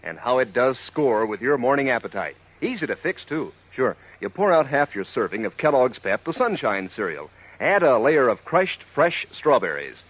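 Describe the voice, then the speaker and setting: A man's voice: mid-range voice, big pitch swings, moderate pace, slightly rough. A man announcing a 1940s radio advertisement with peppy, folksy salesmanship, on a tinny old recording. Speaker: commercial announcer.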